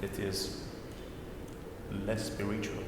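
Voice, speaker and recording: A middle-aged man with an Italian accent, a native Italian speaker and cantor, giving halting interview answers and searching for his English words. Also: on the low side, little pitch movement. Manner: hesitant, searching for words in second-language English. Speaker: cantor and baritone singer, interviewee. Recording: echoey hall, distant mic, background noise.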